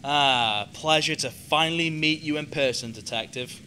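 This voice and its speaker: A man's voice: a little high, sharp tone, slight background noise. A man playing a gloating, mocking serial killer villain in an amateur radio play, with theatrical, taunting delivery. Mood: smug taunting. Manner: mocking, taunting, drawn-out menace.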